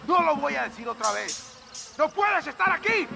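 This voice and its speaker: Very high, gravelly Mexican army guard. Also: male voice, big pitch swings, fairly quick, noisy background, heavily acted. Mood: angry. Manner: barking, menacing. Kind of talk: video game voice acting.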